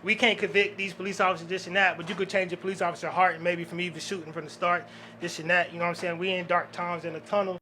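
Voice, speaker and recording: Guy w high bright voice, earnest slangy podcast guest, slight bg noise. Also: little pitch movement, quick pace. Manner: slangy, earnest, repeats short phrases. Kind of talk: casual chat.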